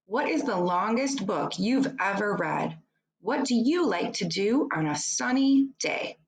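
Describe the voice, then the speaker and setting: A woman reads an educational children's book aloud like a teacher, in a deliberate, instructive way, adding short explanations for young pupils. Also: fairly low pitch, poor audio.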